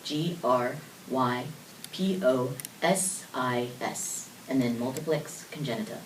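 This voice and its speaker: A woman's voice: very deep voice, takes it slowly, recorded from a distance. A woman in her twenties, a guest on a talk show, answering in a casual, laughing, talkative way. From born in South Korea, raised in the United States. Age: 20s. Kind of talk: interview answers. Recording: roomy echo, voice from off mic, faint noise.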